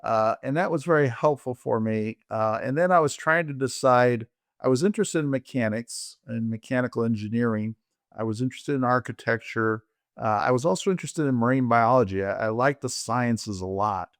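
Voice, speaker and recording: A man in his sixties or older who grew up in Colombia, telling his own story conversationally in a halting way. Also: mid-range voice, dry recording, studio quality. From Colombia, raised by American parents. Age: 60s or older. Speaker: interview guest, orthopedic surgeon.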